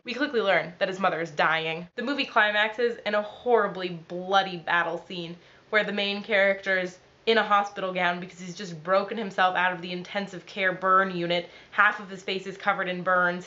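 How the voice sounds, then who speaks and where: A young woman who is ill and sounds nasal, telling a story in a quick, dry, deadpan vlog. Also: fairly low pitch, lo-fi sound. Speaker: vlogger.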